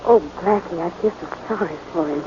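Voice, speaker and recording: A woman's voice: fairly low pitch, fairly quick, close to an everyday voice. An actress playing a detective's eager, sympathetic, girlish woman sidekick in a 1940s radio drama. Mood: sympathetic, curious. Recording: dull, noisy old 1940s radio broadcast.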